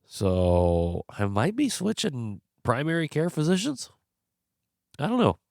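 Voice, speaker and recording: A man's voice: a little high, wildly swooping pitch, takes it slowly, creaky, darkish tone, no echo, studio quality. A young man hosting his own podcast, stuffed up from a cold, talking frankly and rambling a little in a tired way. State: stuffed up, congested. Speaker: podcast host. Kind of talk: casual solo commentary. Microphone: close podcast mic.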